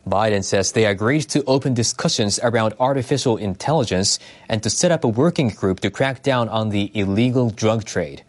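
A man's voice: on the low side, normal speed, few pauses. A man reading scripted international news as a broadcast news reader.

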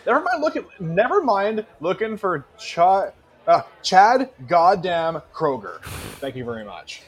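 A man's voice: high voice, big pitch swings, unhurried, breathy edge. A man co-hosting a podcast in casual, profane banter, emphatic and repeating himself for effect. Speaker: podcast co-host.

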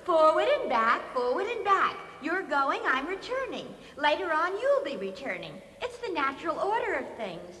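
An excitable alien lady in a radio comedy, with a very high, bright voice. She chatters in a quirky, matter-of-fact way and exclaims freely. Long reverb surrounds her. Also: normal speed, hardly any pauses, heavily acted. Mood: breezy, matter-of-fact. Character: chatty, excitable female alien from another planet. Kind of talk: comedic radio drama acting. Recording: reverberant, faintly noisy radio broadcast.

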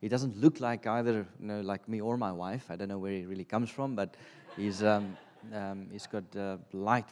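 A South African man in his thirties, Afrikaans his first language, preaching to a church congregation. His voice sits a little low and he talks in a halting, conversational way, full of ums and restarts. The sound carries a long reverb from the church hall. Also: a little mellow. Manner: hesitant, lots of ums and restarts. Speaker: guest preacher. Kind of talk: informal sermon. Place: church sanctuary.

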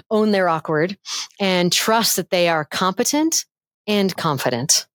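A middle-aged woman voice coach chatting as a guest on a remote interview, animated and playful, demonstrating voice techniques. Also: unhurried, no room sound, studio quality. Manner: animated and playful, demonstrates pitch changes with her own voice. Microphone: headphones with mic, remote call.

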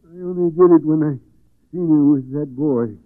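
An actor playing a possessive, uneducated old American father in a 1940s radio drama, halting and defensive. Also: fairly high pitch, no room sound, lightly acted. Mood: defensive, pleading. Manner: halting, defensive, rough grammar. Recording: dry, phone-like old radio recording.

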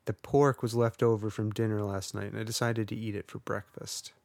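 A man with a low voice talks through his day in relaxed podcast chat. He rambles a little and pokes fun at himself. The sound is close and dry, with no room around it. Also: fairly quick, vocal fry, no echo. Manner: wry, rambling and self-deprecating. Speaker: podcast co-host. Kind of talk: casual chat.

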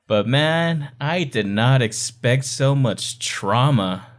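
A man's voice: mid-range voice, animated, takes it slowly. A man co-hosting a podcast, talking in an enthusiastic, conversational way in a casual book club chat. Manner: enthusiastic, conversational fan talk. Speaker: podcast co-host.

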